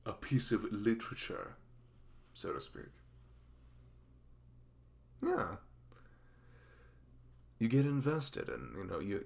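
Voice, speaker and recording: A man's voice: lowish voice, vocal fry. A man playing a dorky, nervous young best friend in a conversational ASMR roleplay, rambling and stammering with self-deprecating humor. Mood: fond, teasing.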